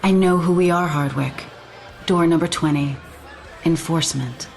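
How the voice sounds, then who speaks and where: A gravelly low undercover spy lady, flirty then curt, faint music behind. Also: normal speed, over a noisy crowd. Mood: tense. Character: secretive female spy posing as a banker.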